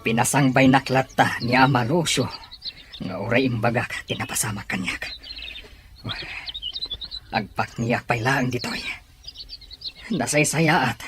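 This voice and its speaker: Man acting a resolute tribesman, mid-pitched. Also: a little slow, pauses often, lightly acted. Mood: brooding, determined. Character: resolute tribesman plotting to protect his people. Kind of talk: amateur drama monologue. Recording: noisy outdoor recording with birdsong.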